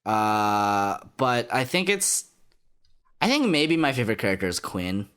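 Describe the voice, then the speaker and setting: A man's voice: fairly low pitch, measured pace, clear, resonant tone. A man co-hosting a podcast, chatting casually and explaining his thoughts in an analytical way. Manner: thoughtful, analytical, with lively intonation. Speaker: podcast co-host. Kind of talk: casual anime discussion.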